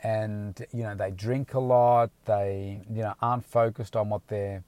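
Male fitness coach, slightly low register, frank, loose and rambling, recorded dry from his car. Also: fairly even intonation, measured pace, darkish tone, no echo. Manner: rambling, frank, with many restarts. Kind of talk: casual motivational talk to camera. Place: inside a car.

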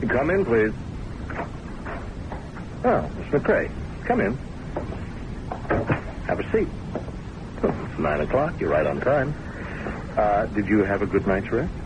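A low, expressive man's voice on a noisy old radio recording as a patient doctor. He coaxes gently and keeps a measured, probing manner in radio drama style. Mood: welcoming.

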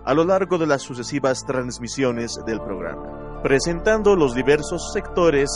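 A man hosting a radio program, introducing its segments in a formal, measured announcing style. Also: medium pitch, big pitch swings, over music. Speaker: radio show host.

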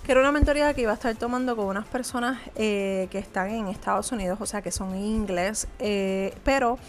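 A woman's voice: mid-range voice, a little fast, a little hiss or hum. A woman, a small business owner giving earnest, conversational motivational advice straight to the viewer, with frequent hesitant fillers. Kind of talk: motivational vlog talk to viewer.

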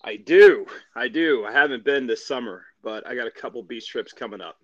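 Male podcast co-host, casual sports recap, mid-pitched voice, halting delivery with repeats, slightly lo-fi audio. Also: fairly bright tone, no room sound.

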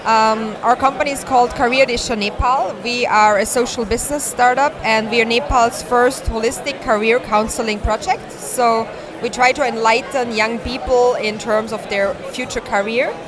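A woman's voice: fairly high pitch, fairly even intonation, normal speed. Austrian-born woman amid a busy conference crowd. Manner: earnest, explanatory, non-native phrasing. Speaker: guest, startup founder. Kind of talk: interview at a conference. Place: conference hall.

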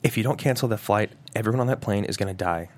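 A man's voice: lowish voice, fast, lightly acted. Man playing a desperate, insistent thirtyish businessman. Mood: urgent, pleading. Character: desperate, pleading businessman in his mid-thirties. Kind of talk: table read of a thriller screenplay.

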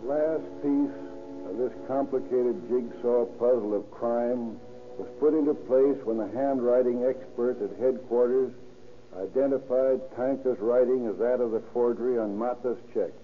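A man's voice: medium pitch, normal speed, faint music behind. A man with an American accent, speaking as a sheriff in scripted 1930s radio narration. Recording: muffled 1930s radio, faint noise.

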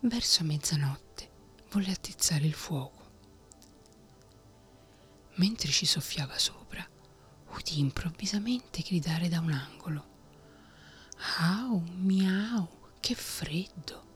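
Slow whispering woman storyteller. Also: sits low, soft background music. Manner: soft whisper throughout. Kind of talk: whispered ASMR fairy-tale reading.

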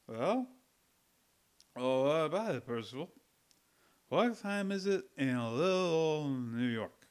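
A man's voice: fairly high pitch, sing-song, slow pace, smooth. A man playing a stubborn, tipsy American drinking pal in a radio play, slangy and challenging, with an American accent. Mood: insistent. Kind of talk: old-time radio drama acting.

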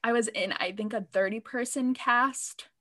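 A young woman, a theater student, co-hosting a podcast, telling stories in an animated way and laughing often. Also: fairly high pitch, normal speed. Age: young adult. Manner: animated storyteller, giggly. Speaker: podcast co-host. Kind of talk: casual chat.

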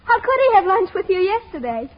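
Sweet, dramatic girlfriend, 1940s radio comedy. Very high, gushy voice, muffled vintage sound. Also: big pitch swings, brisk. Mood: coy. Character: sweet gushing, romantic, easily exasperated young girlfriend. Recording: dull 1940s radio broadcast.

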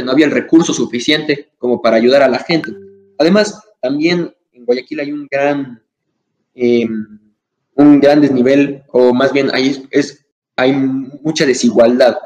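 A man's voice: mid-range voice, poor audio. A young man hosting a podcast interview, asking long rambling questions with fillers and restarts. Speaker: podcast host. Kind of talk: casual interview.

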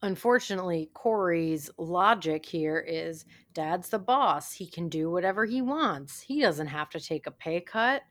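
A woman's voice: fairly low pitch, animated, unhurried, hardly any pauses, no room sound. Dry-sounding woman, chatty podcast co-host. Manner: wry, chatty, many "like" fillers. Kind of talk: casual recap chat.

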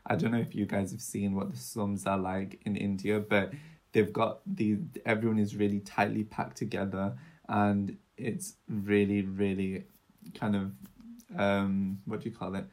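A young woman co-hosting a casual true-crime podcast, chatty and rambling, with a low, soothing voice. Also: flat delivery, a little slow, a little mellow. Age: young adult. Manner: chatty, rambling, searches for words. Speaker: podcast co-host.

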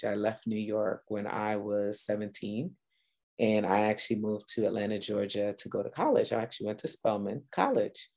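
A woman's voice: extremely low pitch, warm tone. A middle-aged woman from Guyana hosting a podcast, talking earnestly and upbeat in a casual solo chat with some fillers. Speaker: podcast host.

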